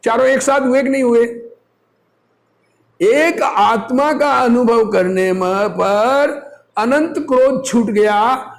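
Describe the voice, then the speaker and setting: This is a man with a notably high-pitched voice delivering a religious discourse. He takes his time, moving slowly between reading and explanation. His manner is that of a teacher, instructive and fond of rhetorical questions. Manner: didactic, asks rhetorical questions and answers them.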